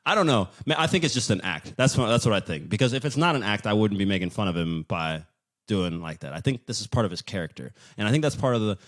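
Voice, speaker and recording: A man's voice: lowish voice, animated, fast talker. A man hosting a podcast, chatting casually in a joking, self-deprecating, rambling way. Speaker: podcast host.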